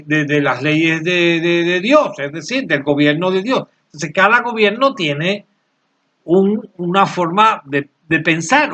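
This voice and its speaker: High-voiced man in his sixties. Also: fairly bright tone, highs cut off. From Cuba. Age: 60s. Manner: halting, repeats words while searching, earnest. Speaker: channel creator, interviewee. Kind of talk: unscripted interview answers.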